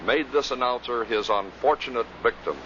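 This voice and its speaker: A man's voice: mid-range voice, normal speed, fairly bright tone. A man giving scripted narration as the presenter of a compilation. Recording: muffled, noisy vintage radio recording.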